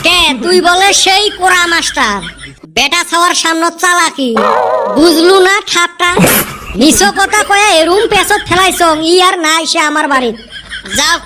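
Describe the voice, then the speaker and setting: A woman's voice: very high voice, normal speed. A woman voicing a cheeky, scheming schoolboy in a comic cartoon, with a northern Bengali accent and a sly, mock-innocent manner. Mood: smug. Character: cheeky scheming schoolboy, master of tall tales. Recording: noisy track with clicks.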